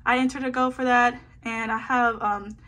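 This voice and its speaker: A woman's voice: on the high side, flat delivery, moderate pace, pushed and bright. Chatty young woman sorting photocards. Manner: chatty, bubbly, slangy asides. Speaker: photocard collecting vlogger. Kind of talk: casual chat while sorting a binder. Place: indoors at a table, hands seen filming a binder.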